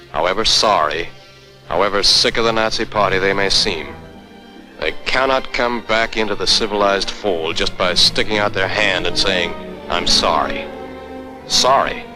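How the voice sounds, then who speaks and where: A man's voice: low-pitched, low-quality recording. An American man narrating a 1940s military training film in a stern, emphatic, commanding manner. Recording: vintage 1940s film soundtrack. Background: orchestral music.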